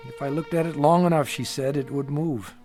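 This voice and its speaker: An actor in a radio drama playing a haunted, sleepless patient who talks in a halting, edgy, confiding way, afraid of his own imagination. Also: on the high side, darkish tone, faint music behind, lightly acted. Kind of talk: audio drama acting.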